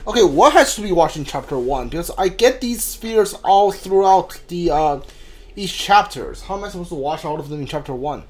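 A man streaming a video game with casual, halting, exasperated commentary. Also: high voice, lively intonation. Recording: clear background noise.

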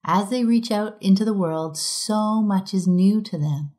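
A middle-aged woman reading a prepared conference talk aloud in a measured, reflective way as a podcast host. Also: mid-range voice, a little mellow.

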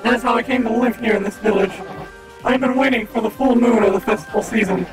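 Guy reading out a loyal guard dog, slow and solemn, kinda raspy, music playing behind. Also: high-pitched, poor audio. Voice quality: slightly raspy. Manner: slow careful reading of game dialogue.

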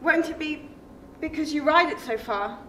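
An actress playing a young wife and mother who probes her husband with soft questions, on an echoey stage. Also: high-pitched, moderate pace. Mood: probing. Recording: echoey stage with faint noise.

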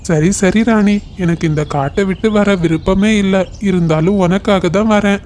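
Guy doing a worried crow husband for a kids cartoon, high voice, earnest n pleading. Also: moderate pace, darkish tone, slight background noise, lightly acted. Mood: reluctant, giving in. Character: devoted, anxious male crow husband, eager to please.

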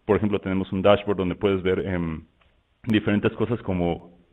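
A man from Mexico with a Mexican Spanish accent, walking through software in a loose, conversational webinar style full of fillers and restarts. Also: deep voice, steady tone, normal speed. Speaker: software presenter. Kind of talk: webinar product walkthrough. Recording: tinny phone-like line.

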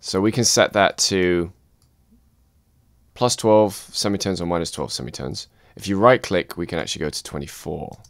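Low-voiced man explaining software steps. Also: normal speed, gravelly, soft background music. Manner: calm step-by-step explaining. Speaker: music production instructor. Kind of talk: screen-recorded software tutorial.

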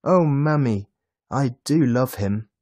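An excitable little boy from a children's storybook, acted out by a man. The voice is lifted high and pushed louder, breathless and sweetly gushing. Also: slow pace, breathy edge, full character voice. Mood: excited delight. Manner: breathless, exclaiming.

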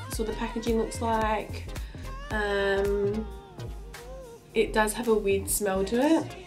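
A young woman talks slowly and casually, trailing off mid-sentence as she reviews makeup. Her voice is a little stuffy from a cold, recorded by a camera mic in a small indoor room. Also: mid-range voice, steady tone, faint music behind. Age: young adult. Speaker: beauty vlogger.

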